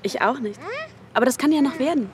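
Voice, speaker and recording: An actress playing a caring, practical woman who talks in a gently persuasive way in a radio drama. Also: high-pitched, fast, noisy background, close to an everyday voice. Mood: friendly. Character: caring, sociable, practical-minded female confidante. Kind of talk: German radio crime drama acting.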